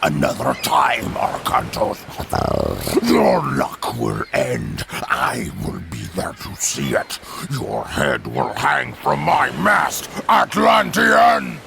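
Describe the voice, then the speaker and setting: An actor playing a menacing, vengeful pirate captain in a video game, with a gravelly growl and drawn-out threats. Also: male voice, fairly low pitch, wildly swooping pitch, pushed and bright, slight background noise, full character voice. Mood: roaring threat. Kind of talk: video game cutscene acting.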